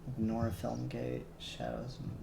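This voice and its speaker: Gravelly man muttering while he works. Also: mid-range voice, completely flat delivery, a little slow, reverberant, heavy background noise. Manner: mutters settings aloud while working, chuckling. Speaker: streamer doing 3D render work. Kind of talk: casual livestream chat.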